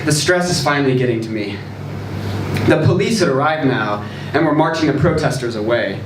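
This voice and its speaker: A man giving a dramatic live reading of a short story, deliberate and theatrical, with pauses for effect. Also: mid-range voice, lively intonation. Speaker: story reader at a live literary event. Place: live event in a social room, recorded from a distance. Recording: roomy distant live recording, clear background noise.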